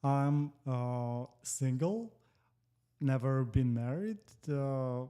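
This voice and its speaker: Slow, mellow Moldovan man. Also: mid-range voice, expressive, smooth. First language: Russian. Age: 40s. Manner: slow, careful phrasing with pauses. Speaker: guest. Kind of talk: casual interview.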